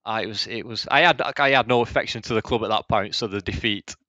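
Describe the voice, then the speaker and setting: A man's voice: on the low side, fast talker, sharp tone, no room sound, muffled recording. A man in his thirties from England, co-hosting a podcast chat, hesitant and often repeating words as he speaks. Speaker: podcast co-host. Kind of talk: casual chat.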